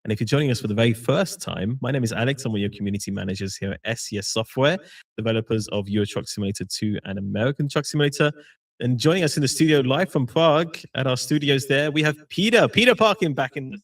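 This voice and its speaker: A man's voice: mid-range voice, quick pace. A man hosting a live game-company stream as community manager, chatty and welcoming.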